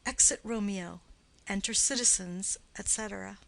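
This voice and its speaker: A woman's voice: mid-range voice, moderate pace, vocal fry, fairly bright tone, poor audio. A woman reading a play aloud as a scripted audiobook, announcing names and stage directions. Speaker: audiobook reader.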